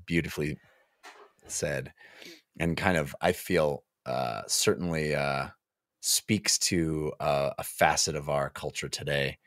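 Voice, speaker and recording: A man's voice: extremely low pitch, fairly even intonation, slow, fairly bright tone, no room sound. A middle-aged man co-hosting a podcast, discussing books and reading passages aloud expressively into a close microphone. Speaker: podcast co-host. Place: small home office, indoors. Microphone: close podcast microphone on a boom arm.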